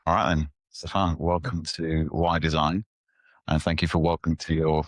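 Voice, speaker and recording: A man's voice: a bass voice, little pitch movement, normal speed, no echo. A man hosting a design interview podcast, friendly and full of small fillers, recorded in an apartment. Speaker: podcast host.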